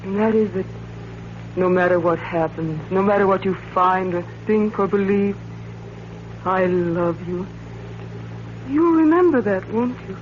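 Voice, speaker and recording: An actress in a 1940s radio thriller playing a devoted, knowing, anxious wife, gentle and earnest. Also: female voice, mid-range voice, unhurried, long pauses, close to an everyday voice. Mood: tender, pleading. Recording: muffled old radio broadcast, faint hum.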